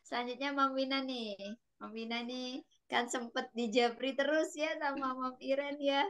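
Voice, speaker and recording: Brief: slightly high-voiced woman coach, encouraging, chatty, video call. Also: fairly even intonation, normal speed. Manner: encouraging, chatty, many filler tags. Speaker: webinar coach. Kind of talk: casual online class chat.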